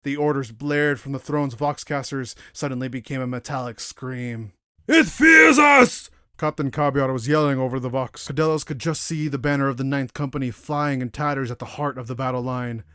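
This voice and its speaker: A male audiobook narrator with a mid-pitched voice reads dramatic military science fiction. His storytelling is measured and solemn, captured in a dry recording without room sound. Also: fairly even intonation, clear, resonant tone, dry recording.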